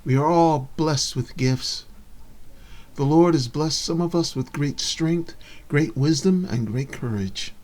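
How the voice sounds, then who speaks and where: A man voicing a tough, loving elderly woman pastor in a story reading, with solemn, measured preaching. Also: a little high, a little mellow, voice pushed up. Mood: solemn, heartfelt.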